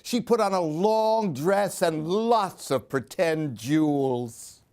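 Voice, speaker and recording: A man's voice: fairly high pitch, animated, slow pace, a little mellow. A man reading a children's story expressively, in a sing-song way, putting questions to young listeners. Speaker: storyteller reading picture books for a library program.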